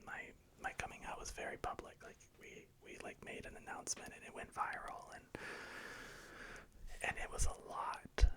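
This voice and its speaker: A man whispering a slow guided meditation, softly repeating calm reassurances. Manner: slow, soft, repetitive reassurance.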